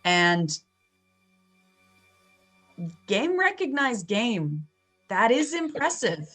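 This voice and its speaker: A woman playing a tabletop roleplaying game, improvising narration in deliberate broken phrases and chatting casually at the table. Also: fairly high pitch, sing-song, a bit bright, faint music behind.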